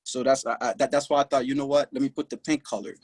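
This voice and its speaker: Husky young man, dry close sound. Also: mid-range voice, steady tone, dry recording. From Haiti. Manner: earnest and proud, speaks in short halting bursts. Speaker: podcast guest. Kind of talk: casual interview talk. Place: his clothing shop.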